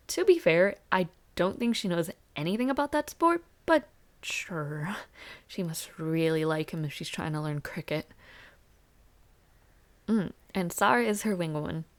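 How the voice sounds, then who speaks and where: A woman playing a friendly, teasing young university student, chatting casually and playfully in an intimate audio roleplay. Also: medium pitch. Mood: friendly, easygoing. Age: young adult. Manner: chatty, breezy, playful with soft asides.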